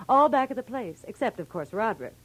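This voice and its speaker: Playful young dame, American, breezy, inquisitive, swooping intonation, old radio play. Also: female voice, a little high, expressive, moderate pace, a bit bright, low-quality recording. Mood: teasing, reassuring. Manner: teasing, breezy, familiar. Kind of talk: 1940s radio drama acting.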